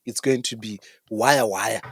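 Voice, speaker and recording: A man's voice: fairly low pitch, fairly even intonation, a little slow, fairly bright tone, husky, no echo, clean studio sound. A Zimbabwean man co-hosting a podcast, chatting casually in a mix of Shona and English and repeating phrases for emphasis. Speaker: podcast co-host.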